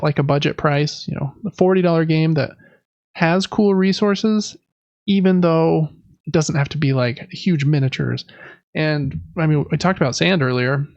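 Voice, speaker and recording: Man, podcast co-host, slightly high creaky voice, casual show-and-tell, hesitant informal delivery, dull dry recording. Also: normal speed, a little mellow, no echo. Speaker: podcast co-host reviewing board games. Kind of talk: casual show-and-tell chat about board games.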